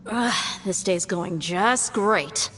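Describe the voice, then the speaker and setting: A woman's voice: mid-range voice, very slow, a bit bright, a little hiss or hum. An actress voicing a blunt, wry young female traveler in a video game, with a sardonic edge. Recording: game audio with reverb and faint music.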